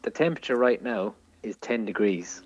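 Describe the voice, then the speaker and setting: A man's voice: fairly low pitch, expressive, normal speed, darkish tone, husky, no echo, lo-fi sound. A man co-hosting a comedy podcast over a remote call, chatting casually in an emphatic, mock-serious way. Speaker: podcast co-host.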